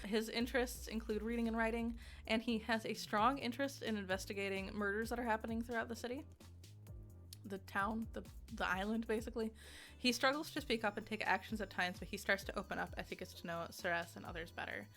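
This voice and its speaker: A woman's voice: a little high, fairly even intonation, a little fast, fairly bright tone, soft background music. A woman giving a casual, conversational video game review in an emphatic, informal way, often giggly as she talks.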